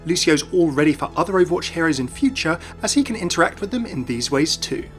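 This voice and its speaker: A man's voice: on the high side, big pitch swings, fast talker. A man hosting a gaming video, reading scripted narration. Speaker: YouTube video host. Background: music.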